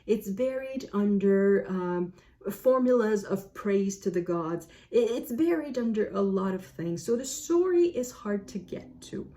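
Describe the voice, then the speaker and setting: A woman's voice: medium pitch, lively intonation, unhurried, warm tone. A woman with a French accent and French as her native language gives a casual book review, explaining reflectively and often correcting herself.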